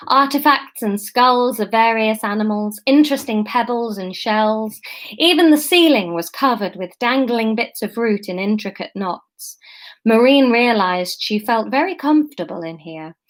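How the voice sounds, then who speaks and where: A woman reading her serialized story aloud, chatty and casual, often pausing to comment on it and correct herself. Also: on the high side.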